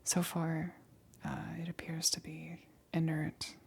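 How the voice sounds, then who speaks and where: A woman playing a nervous scientist in a whispered ASMR roleplay, speaking softly and breathily with hesitant, halting stammers. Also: deep voice, completely flat delivery, vocal fry, slightly rough, full character voice. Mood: clinical, hesitant. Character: nervous female scientist examining an alien specimen.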